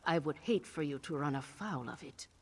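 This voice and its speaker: Low, echoing old crone witch. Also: animated, breathy edge, heavily acted. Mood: ominous warning. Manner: measured, archaic phrasing, wry. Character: old crone witch, cryptic, wry, knowing. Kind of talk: scripted video game dialogue. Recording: long reverberant tail on the voice.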